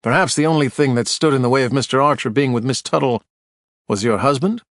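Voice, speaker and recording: A man's voice: mid-range voice, fast, dry recording, studio quality, pitched above the performer's own voice, lightly acted. A man voicing a prim, stuffy prosecuting lawyer who questions and objects. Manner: prim, protesting.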